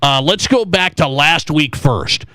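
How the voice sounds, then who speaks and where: A man's voice: fairly high pitch, animated, unhurried, pushed and bright, no echo. An American man hosting a sports talk radio show, asking questions and setting up topics in conversation.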